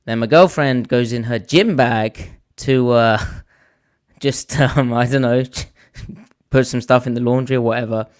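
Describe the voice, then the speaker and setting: A man with a hoarse voice, co-hosting a podcast and rambling through stories in casual chat. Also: medium pitch, measured pace. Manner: rambling storyteller, animated when telling a story. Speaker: podcast co-host.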